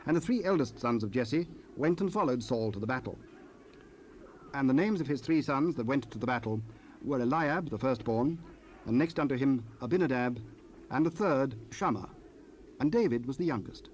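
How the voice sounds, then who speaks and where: A man's voice: mid-range voice, lively intonation, normal speed, a little mellow. Solemn male scripture narrator. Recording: faintly noisy cassette rip.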